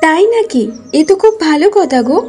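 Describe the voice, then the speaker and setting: A woman's voice: sits high, expressive, brisk, dark tone. A woman playing a careful, practical village wife and mother in a cartoon, sensible in manner. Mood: caring. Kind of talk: Bengali cartoon voice acting.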